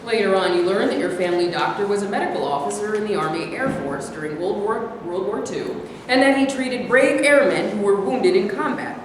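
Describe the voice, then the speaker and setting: A woman's voice: mid-range voice, lively intonation, brisk, hardly any pauses, lightly acted. A woman acting in a staged play reading as a scornful alter ego, blunt, sarcastic and belittling. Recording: echoey hall with background noise.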